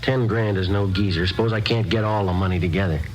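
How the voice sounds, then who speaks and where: A man playing a matter-of-fact undercover police detective in a 1950s radio crime drama, clipped and deadpan. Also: lowish voice, fast talker, heavy background noise, close to an everyday voice. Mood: guarded. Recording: vintage radio recording.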